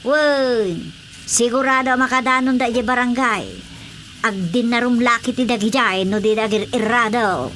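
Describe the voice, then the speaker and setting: A woman's voice: on the high side, unhurried, natural voice. A woman playing a gossipy, complaining village woman in an Ilocano radio drama comedy. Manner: exclamatory, gossipy.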